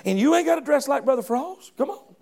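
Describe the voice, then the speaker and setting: Fervent gravelly-voiced middle-aged preacher. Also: male voice, extremely high pitch, lively intonation, fairly quick, darkish tone. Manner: fervent, folksy preaching with frequent restarts and calls of "come on". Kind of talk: sermon.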